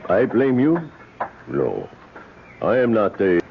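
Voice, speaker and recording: An actor playing a solemn, vengeful South American native father in an old radio drama, speaking in measured, formal phrases. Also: on the low side, huge pitch swings, vocal fry, exaggerated voice. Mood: tense, grieving. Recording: noisy, dull old radio recording.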